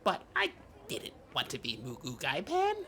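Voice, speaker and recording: A man's voice: medium pitch, wildly swooping pitch, vocal fry, a bit bright, a little hiss or hum, exaggerated voice. A man playing a timid, earnest, odd podcast host who rambles and stammers through improvised comedy banter. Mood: hesitant, earnest. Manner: halting, hesitant, stammering rambler.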